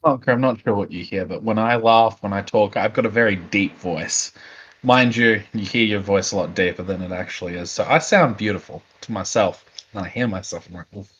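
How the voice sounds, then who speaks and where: A man's voice: lowish voice, fairly quick, a bit bright, slightly rough, muffled recording. A man co-hosting a casual talk show, joking with mock outrage and restarting his sentences. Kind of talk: casual chat.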